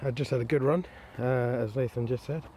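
A man's voice: mid-range voice, fairly even intonation, brisk, loud music behind the voice. A man chatting casually and in an understated way, as an angler presenting a fishing vlog. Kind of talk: casual chat to camera. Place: outdoors on a lakeside bank. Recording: outdoor camera audio, clear background noise. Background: outdoor ambient noise.

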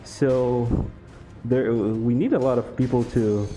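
Mellow young Brazilian man chatting. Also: mid-range voice, slow pace, faint music behind, rough recording. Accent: Brazilian Portuguese. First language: Portuguese. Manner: relaxed, chatty, laughs often, many fillers. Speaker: gaming YouTuber. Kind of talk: casual chat over gameplay.

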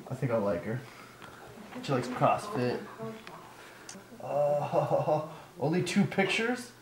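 A man's voice: medium pitch, very animated, slow pace. A young man chatting and joking casually, picked up by a camera microphone. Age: young adult. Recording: echoey, with clear background noise.

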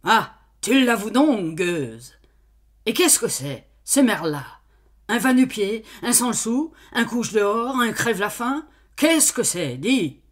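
A stubborn brute of a male farmer in a storybook, voiced by a woman, barking questions in a loud, insistent voice. The voice swings widely in pitch, yet keeps an unhurried pace. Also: sing-song, heavily acted. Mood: furious. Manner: loud, angry, interrogating. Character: brutish stubborn middle-aged male farmer, master.

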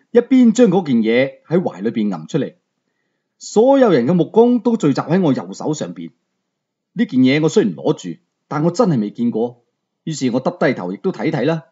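A man tells a story in a Hong Kong Cantonese accent, dramatically and with very animated intonation. His voice is dark and somewhat husky. The recording sounds slightly dull. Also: fairly high pitch. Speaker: audiobook storyteller. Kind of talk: dramatic storytelling narration of a novel.

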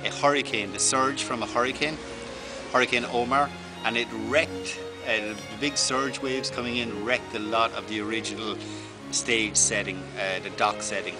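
A man in his fifties gives a tour with a middle-pitched voice. He explains things casually, with halting pauses. He is outdoors, and loud music runs behind him. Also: steady tone. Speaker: tour guide. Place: outdoors at a waterside film set.

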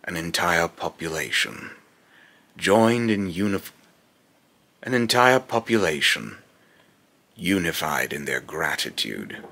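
A very low, gravelly god of fear and loss, acted by a young man. He is a vengeful male tyrant who delivers solemn, pompous villain pronouncements slowly, with a forceful, rough edge. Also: big pitch swings, exaggerated voice. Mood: bitter, scornful. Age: young adult. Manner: slow, pompous, solemn, repeats phrases in retakes. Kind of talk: scripted villain monologue, voice-acting takes. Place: small indoor room at home.

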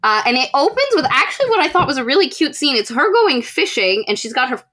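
A young woman with a voice a little on the high side chats as a podcast co-host. She gives a comic recap in casual slang, animated and ready to act out bits of dialogue. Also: fast. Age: young adult. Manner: animated, slangy, acts out lines in mock dialogue. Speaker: podcast co-host retelling a book. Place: indoors, room with bookshelves.

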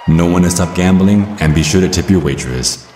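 A man's voice: low voice, a little fast, darkish tone, faint music behind, lightly acted. A man voicing a suave, theatrical vampire stage magician, teasing and pompous, in an ASMR roleplay. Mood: grand showmanship.

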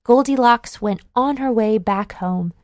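A woman narrating a children's storybook in a measured, clearly enunciated read-along delivery. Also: on the high side, no echo, clean studio sound.

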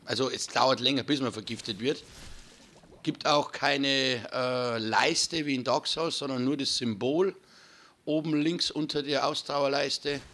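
Hoarse southern man narrating gameplay. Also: mid-range voice, a little slow, bright, forceful tone. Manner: chatty, addresses viewers as friends. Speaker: gaming channel host. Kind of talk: casual let's-play commentary.

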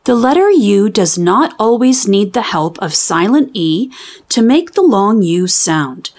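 Female children's storybook reader, lively intonation, unhurried and clearly enunciated, teacherly. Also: medium pitch. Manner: deliberate, enunciated teaching delivery. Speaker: children's read-aloud host. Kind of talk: expressive storybook reading for young children.

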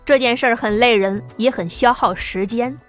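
A woman narrating an apocalyptic sci-fi audiobook in a lively, dramatic storytelling manner, with soft music underneath. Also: a little high, normal speed.

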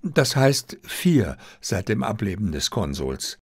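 A man voicing a formal, discreet Japanese diplomat in an audiobook, with courteous, measured wording. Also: lowish voice, very animated, slightly rough, no echo, clean studio sound, exaggerated voice. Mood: formal, explaining. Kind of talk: audiobook character dialogue.

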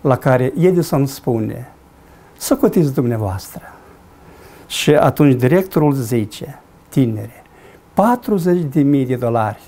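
A man in his sixties, a pastor, preaching a studio sermon from a lectern, swinging between loud climbs and quiet drops. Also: lively intonation, pauses often. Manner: dramatic preaching with wide swings in pitch and loudness. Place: studio with dark backdrop, at a lectern.

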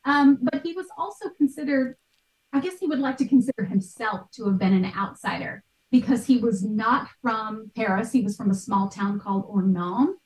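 A woman's voice: on the high side, animated, normal speed, darkish tone. Roomy-sounding woman explaining. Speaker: guest expert, art history podcaster. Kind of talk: conversational explaining in an interview. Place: small bright room at home. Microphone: video call, at some distance. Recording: roomy, distant microphone.

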